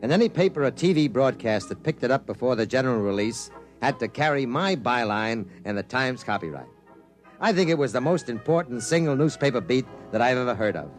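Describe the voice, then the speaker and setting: A man's voice: mid-range voice, expressive, fairly quick, faint music behind, natural voice. An actor in a 1950s radio drama playing a world-weary male newspaper reporter who narrates the story. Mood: narrating.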